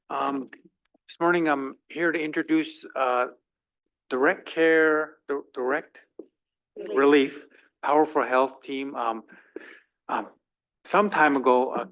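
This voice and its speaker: A man of middle pitch introduces a visiting team at a council meeting, halting and full of ums as he searches for words. The audio is muffled and lo-fi. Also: fairly bright tone. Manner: hesitant, many ums and restarts. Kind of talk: formal presentation at a council meeting.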